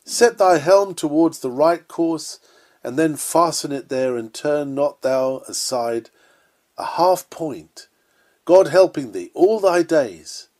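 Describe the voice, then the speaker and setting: A man's voice: on the high side, expressive, slow pace, darkish tone. Earnest man reading an old sermon. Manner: earnest, oratorical delivery. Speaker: sermon reader.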